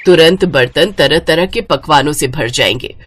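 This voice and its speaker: A woman's voice: deep voice, normal speed, fairly bright tone, husky, pitched below the performer's own voice. A woman voicing a kindly middle-aged female magician in a story, instructing in a motherly way. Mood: earnest, instructive.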